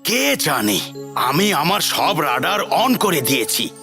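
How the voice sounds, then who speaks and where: A man's voice: on the high side, very animated, slow, pushed and bright, heavily acted. An actor playing a watchful old cartoon grandfather inventor, concerned and instructing, with music behind him. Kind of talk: animated cartoon dubbing.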